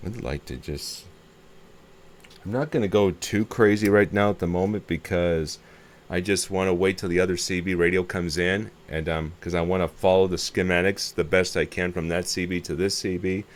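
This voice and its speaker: A man giving a casual, unscripted hands-on show-and-tell of a repair, muttering to himself and thinking aloud as he works, recorded on a camera microphone. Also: low-pitched. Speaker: hobbyist presenting a radio repair video. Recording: clear background noise and ambience.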